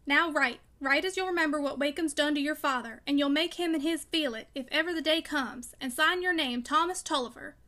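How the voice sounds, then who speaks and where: A woman's voice: high voice, brisk, hardly any pauses, pushed and bright. A woman voicing a fierce, bitter, broken old father with peremptory rustic speech in a storybook reading. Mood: stern, commanding. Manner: peremptory, emphatic, dialect speech. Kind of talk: audiobook character dialogue.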